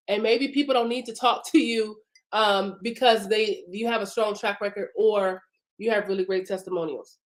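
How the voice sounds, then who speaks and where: A woman business coach hosting a live broadcast, chatting informally with many fillers while congested from a cough and sore throat. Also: medium pitch. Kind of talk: casual live-stream teaching.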